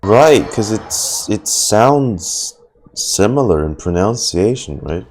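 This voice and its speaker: Deep-voiced man, slow casual reacting. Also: lively intonation, darkish tone, slight background noise. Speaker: streamer reacting to a game. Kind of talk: casual live reaction commentary. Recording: game music in the background.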